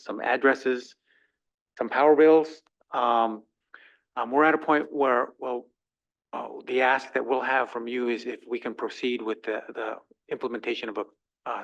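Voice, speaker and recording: A man of middle pitch introduces a visiting team at a council meeting, halting and full of ums as he searches for words. The audio is muffled and lo-fi. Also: a bit bright. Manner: hesitant, many ums and restarts. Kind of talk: formal presentation at a council meeting.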